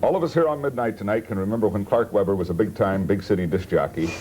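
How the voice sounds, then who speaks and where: A man's voice: on the low side, fast, dark tone. An American man hosting a late-night panel talk show. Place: TV studio. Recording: noisy 1970s TV studio tape.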